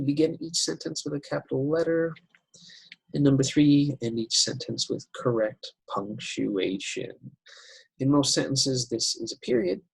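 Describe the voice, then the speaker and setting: A man teaching an English writing lesson over slides, unhurried and step by step, with many fillers. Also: mid-range voice, vocal fry, slightly rough, no room sound, poor audio.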